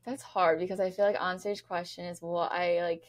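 A woman's voice: on the low side, level tone, moderate pace. A young woman from New York in casual interview chat as a podcast guest, speaking close to a studio microphone. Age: young adult. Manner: chatty, peppers talk with "like". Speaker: podcast guest, pageant contestant. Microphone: close studio microphone on boom arm.

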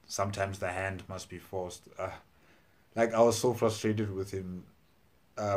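A man in his thirties giving casual, hesitant reaction commentary, musing as he goes. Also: lowish voice. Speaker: reaction video host. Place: small plain room indoors. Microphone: clip-on lapel mic.

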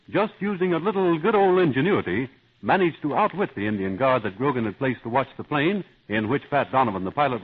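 On a tinny 1930s radio recording, an American male announcer tells an adventure serial. His voice is husky and swings widely in pitch, with a dramatic delivery aimed at youngsters. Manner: dramatic storytelling to young listeners. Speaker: announcer and narrator.

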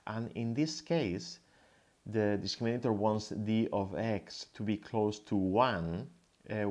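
Male presenter, low pitch, native Spanish speaker with Spanish accent, explanatory slide-talk delivery. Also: darkish tone. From Spain. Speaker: guest lecturer, tech founder.

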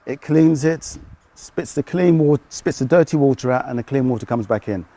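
British guy, middle-aged, warm and mellow, easygoing explainer outdoors. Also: mid-range voice, normal speed, dry recording. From United Kingdom. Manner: relaxed, explaining with hesitant fillers. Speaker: fish farmer. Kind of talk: casual interview answers. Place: outdoors at a fish farm.

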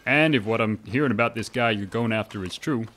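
A man's voice: fairly low pitch, brisk, a bit bright, lightly acted. An actor playing an eager, polite young man who works on the railroad. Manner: earnest, polite.

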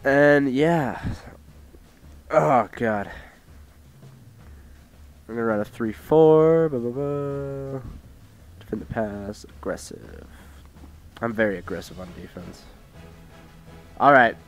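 Sick teen gamer from Idaho, sore throat, rambling home commentary on a headset, mid pitch. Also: male voice, takes it slowly, long pauses, faint music behind. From Idaho, USA. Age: teens. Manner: rambling, self-interrupting, reads out settings. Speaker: gaming YouTuber. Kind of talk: casual gameplay commentary. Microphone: headset mic with tangled cords.

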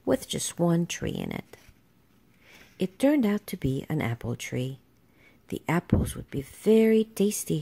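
Low, slow, creaky woman reading a storybook. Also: warm tone. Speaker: storybook reader. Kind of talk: read-aloud children's storybook narration.

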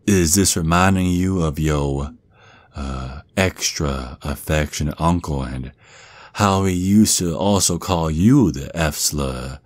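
Male actor as protective werewolf father, Southern US drawl, extremely deep, taunting and menacing, ASMR roleplay, very dry recording. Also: takes it slowly, dry recording, heavily acted. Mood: cruel, gloating. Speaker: audio roleplay performer.

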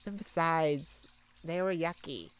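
A woman's voice: deep voice, takes it slowly, a little hiss or hum. A woman playing a kind, protective, flustered young mage in a romantic ASMR roleplay, hesitant and stammering. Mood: teasing, cautious. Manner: hesitant, stammering, soft-hearted. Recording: steady outdoor birdsong ambience behind voice.

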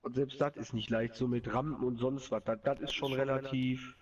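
Young host with a cold, slangy chat, dull distant mic. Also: male voice, mid-range voice, steady tone, moderate pace. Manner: chatty, slangy, laughs easily. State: has a cold. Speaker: channel host (Nico). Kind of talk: casual gameplay commentary.

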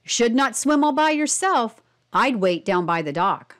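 Woman playing old starfish, cautious, admonishing, lively pitch, storybook character. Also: measured pace. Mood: warning.

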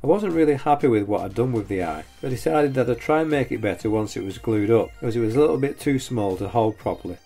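A man's voice: medium pitch, expressive, fast, vocal fry, few pauses, warm tone. A man in his forties, host of a woodworking channel, giving a plain, matter-of-fact scripted voice-over that is openly self-critical about his work. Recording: voice-over with faint music behind.

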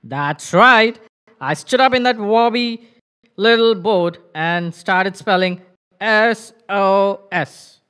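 A man voicing an elderly fisherman grandfather in a children's puppet show, with a slow, drawn-out storytelling manner. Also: sits high, sharp tone, clear, resonant tone, exaggerated voice.

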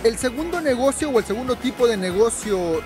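High-pitched young man vlogging outdoors. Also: animated, brisk, over music. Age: 20s. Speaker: travel vlogger and guide. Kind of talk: informal advice vlog to camera. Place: outdoors in city square and narrow streets. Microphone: camera at arm's length.

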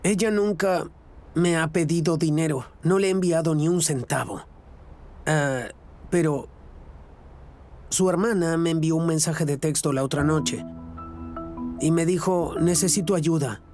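A man's voice: on the high side, measured pace, long pauses, a little mellow. An actor with a Latin American Spanish accent dubbing a lovestruck, earnest middle-aged man in a reality show. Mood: uneasy, earnest. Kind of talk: dubbed reality show talk and confessional. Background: background music.

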